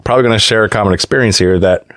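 A man with a somewhat low voice hosts in a casual, informal way. His phrases start haltingly, and the recording is dry with little room sound. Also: a bit bright, no room sound. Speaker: podcast host. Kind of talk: casual chat.

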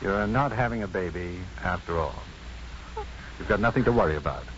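An actor playing an assured, wry, kindly physician and professor in a 1950s radio drama, speaking in a measured, dryly witty, deliberate way. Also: male voice, low voice, expressive, fairly bright tone. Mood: gentle, careful. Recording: muffled, faintly noisy 1950s radio broadcast.

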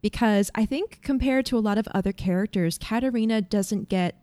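A woman's voice: mid-range voice, a little fast, dark tone, no echo. A woman with a mid-range voice leads the conversation as a podcast co-host, steering it into a careful, organized recap. Her voice is close and dry, with no room sound. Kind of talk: casual chat.

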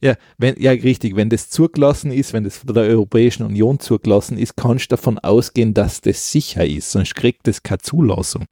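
A man's voice: lowish voice, a little fast, hardly any pauses, dark tone, no echo, clean studio sound. A man with an Austrian accent co-hosting a podcast in casual, opinionated chat, often repeating words as he starts a thought. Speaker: podcast co-host.

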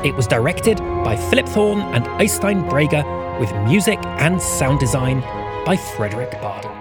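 A man's voice: medium pitch, sing-song, normal speed. A man giving a scripted credits announcement. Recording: loud music behind the voice.

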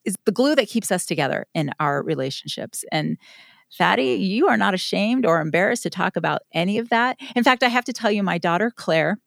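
A woman in her forties hosting a podcast interview, animated and enthusiastic. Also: medium pitch, a little fast, creaky, no echo, studio quality. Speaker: podcast host. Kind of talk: casual interview.

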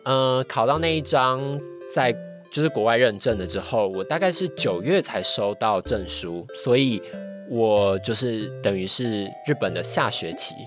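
A podcast guest with a Taiwanese Mandarin accent shares personal experience in a casual way. The voice sits mid-range and often pauses to rephrase. Music plays clearly underneath. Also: mid-range voice, animated. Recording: voice over background music. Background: background music.

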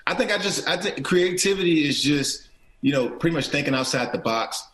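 A man's voice: on the high side, lively intonation, brisk, a bit bright, echoey room. A middle-aged man, an actor and producer, talking earnestly as an interview guest, restarting phrases and often checking in with "right?". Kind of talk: casual interview talk.

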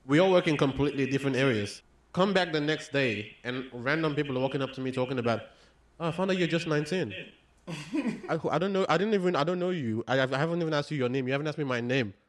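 A young man from Australia, calmly telling a story in casual chat, with ums and restarts. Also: a little high, fast. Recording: roomy echoey room.

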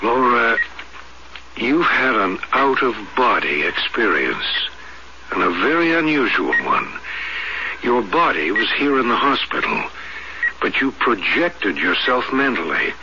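An actor playing a calm, reassuring hospital doctor who explains things in an old-fashioned radio drama. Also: male voice, medium pitch, measured pace. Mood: explaining. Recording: dull, hissy radio broadcast tape.

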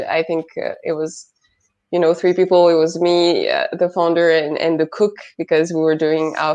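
Low-voiced French woman, hesitant. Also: darkish tone, muffled recording. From northern France. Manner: hesitant, many fillers and restarts. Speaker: guest, product manager. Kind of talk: interview conversation.

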